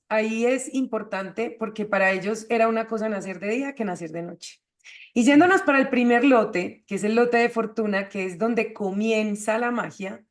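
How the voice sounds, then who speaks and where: High-voiced woman explaining. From Colombia. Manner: didactic, checks with rhetorical questions. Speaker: host of an astrology show. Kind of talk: explanatory conversation on astrology.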